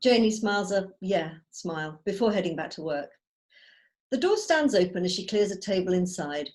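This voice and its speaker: A woman reading out screenplay stage directions as measured, storytelling narration. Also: mid-range voice, lively intonation, a little mellow. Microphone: video call.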